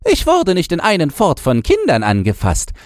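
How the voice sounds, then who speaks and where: Storybook old yard dog, very high yapping falsetto, swooping pitch, boastful and nostalgic. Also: male voice, wildly swooping pitch, moderate pace, a little mellow, dry recording, studio quality. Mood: wistful, nostalgic. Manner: knowing, exclamatory, barking.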